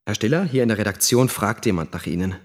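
A man's voice: on the low side, lively intonation, quick pace. An actor playing a factual, slightly superior journalist giving his account in a radio drama. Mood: matter-of-fact. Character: matter-of-fact male broadcast journalist giving his account.

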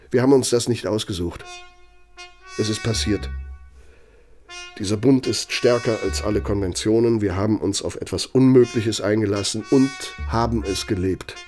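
An actor in a radio drama playing an aging, bitter Nazi architect, speaking in a reproachful, deliberate, rhetorical manner. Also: male voice, on the low side, darkish tone, lightly acted. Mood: pleading, resolute. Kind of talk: German radio drama monologue.

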